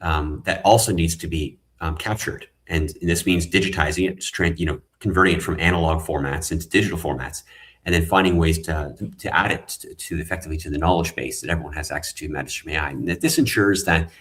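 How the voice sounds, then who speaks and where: A man's voice: sits low, quick pace, a bit bright. A man, a tech founder, earnestly explaining his ideas as a guest in an online panel talk. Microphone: video call.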